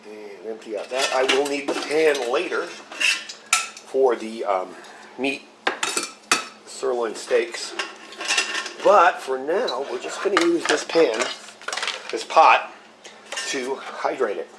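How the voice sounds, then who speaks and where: A man's voice: mid-range voice, takes it slowly, pauses often. A man with a slightly scratchy voice, getting over a cold, hosting a casual cooking vlog and explaining each step as he works. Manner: relaxed, explains step by step while handling gear. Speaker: channel host.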